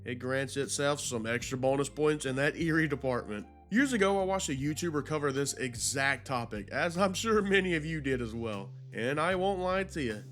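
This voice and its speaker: A man's voice: medium pitch, expressive, normal speed, few pauses, a bit bright, faint music behind. A man hosting a video commentary, narrating in an informal, slangy, conversational way. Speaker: YouTube host.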